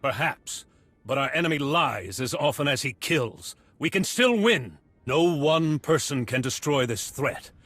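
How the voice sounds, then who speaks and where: A resolute male hero in a video game, with a fairly high, forceful voice. He is earnest and defiant, with lively, rallying intonation. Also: a little slow, no echo, faint music behind. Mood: defiant resolve. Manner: earnest, determined. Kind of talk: dramatic video game acting.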